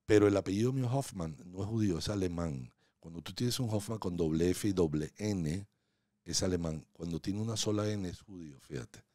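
A man in his sixties speaks with a very low, deep voice. He chats as a podcast guest, playful and full of stories. The sound is close and dry, from a studio mic on a boom arm. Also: fairly quick, warm tone, no room sound. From Venezuela. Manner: playful, asks teasing questions, storytelling. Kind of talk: casual interview chat. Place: small home podcast studio. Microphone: studio mic on boom arm, headphones.

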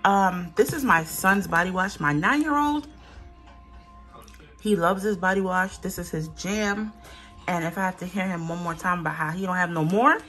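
Chatty woman vlogger, slightly low voice. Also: moderate pace, fairly bright tone, soft background music. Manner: chatty, candid, talks as she handles items off camera. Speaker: vlogger showing a grocery haul. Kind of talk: casual chat. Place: home, indoors. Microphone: phone camera held by the speaker.

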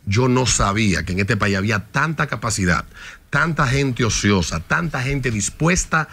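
A man's voice: medium pitch, expressive, moderate pace, pushed and bright, husky. A man with a Dominican accent, a guest in a podcast studio, telling a story with heat and plenty of swearing. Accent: Dominican Spanish. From Dominican Republic. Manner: heated, profane storytelling. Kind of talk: casual chat. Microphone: studio mic on stand.

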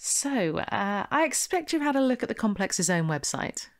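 A woman playing a smooth, bossy con artist with a brisk, persuasive manner in an audio drama. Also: mid-range voice, very animated. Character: smooth, bossy female con artist posing as investment promoter.

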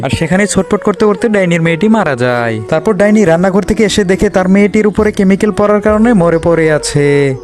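High-pitched male cartoon story narrator. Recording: music behind the voice.

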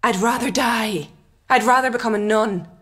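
An actress playing a spirited, sardonic young woman in a radio drama, with a husky voice. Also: a little high, moderate pace, fairly bright tone. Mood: scornful.

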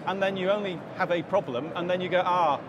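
Guy at a trade show explaining stuff, lots of ums, slightly high voice, super noisy hall. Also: steady tone, fairly quick, muffled recording. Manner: explanatory, many fillers and restarts. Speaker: interview guest, company director. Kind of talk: expo floor interview. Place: busy exhibition hall. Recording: loud hall noise behind. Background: crowd chatter and hall noise.

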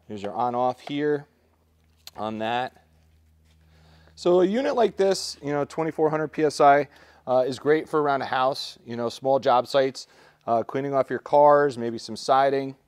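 A man presenting a product unboxing in a relaxed, practical way, thinking aloud with fillers, recorded indoors in a large concrete-floored space. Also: mid-range voice. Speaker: tool store presenter. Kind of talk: casual product unboxing walkthrough.

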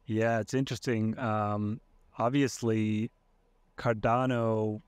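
A man's voice: on the low side, little pitch movement, slow, smooth, no echo. A young man from Iceland, a podcast guest talking over a video call with a headset in his home streaming room, hesitant with many fillers and restarts. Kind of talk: casual interview chat.

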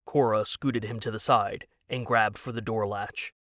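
Slow, deliberate male audiobook narrator. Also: fairly low pitch, fairly even intonation, fairly bright tone, dry recording, clean studio sound. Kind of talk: scripted dramatic story narration.

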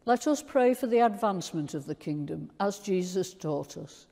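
An older woman with an English accent, a priest reciting liturgical prayers in a solemn, measured way. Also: fairly low pitch, lively intonation, creaky, mellow. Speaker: priest leading a communion service. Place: large stone church, indoors. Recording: long church reverb.

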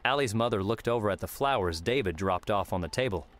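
A man's voice: lowish voice, animated, quick pace. A man narrating a scripted story as an audiobook narrator.

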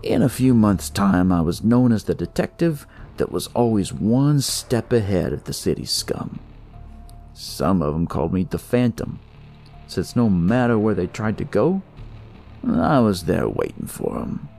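Slow, gravelly male noir storyteller. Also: lowish voice, very animated, darkish tone, soft background music. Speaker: narrator. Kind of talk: dramatic first-person noir horror storytelling.

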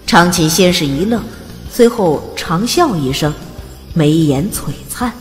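A woman narrating an audiobook in a calm, even storytelling manner. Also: fairly low pitch, a little slow. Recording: long reverb, soft music behind.

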